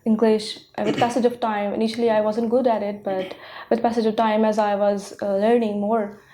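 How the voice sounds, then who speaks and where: A young woman with a Pakistani accent, a native Punjabi speaker, answering a mock speaking exam in halting sentences that restart mid-word. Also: medium pitch, level tone, dark tone, slight background noise. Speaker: test candidate.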